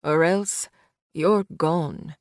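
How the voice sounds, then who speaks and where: A cold, contemptuous crime-boss father from an audiobook thriller, put on by a woman reader. He speaks in a lowered, measured drawl and makes each threat land slowly. The recording is close and dry. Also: no echo, exaggerated voice. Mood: threatening. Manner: slow, low, taunting. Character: menacing male gangster father, cold and threatening.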